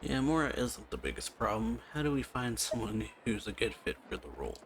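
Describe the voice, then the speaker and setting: A man's voice: medium pitch, big pitch swings, fairly quick, a little hiss or hum. A man hosting a casual let's-play session, reading out dialogue choices and murmuring along. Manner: reads dialogue options aloud, lots of murmured "hmm"s. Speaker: gaming channel host. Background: game audio and music.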